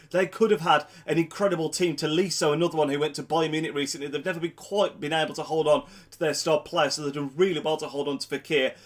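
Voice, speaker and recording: A young man with a blocked nose from a cold, talking through a football match preview in a chatty vlog, doubling up words for emphasis. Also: on the high side. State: congested with a cold. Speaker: football fan vlogger.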